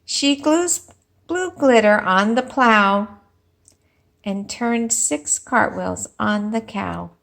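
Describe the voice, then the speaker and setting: Female primary teacher for read-aloud: expressive and unhurried, fairly high voice with some fry, speaks directly to kids. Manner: expressive, addresses children with questions and pauses. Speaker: teacher reading aloud to young children. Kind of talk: animated storybook read-aloud and lesson.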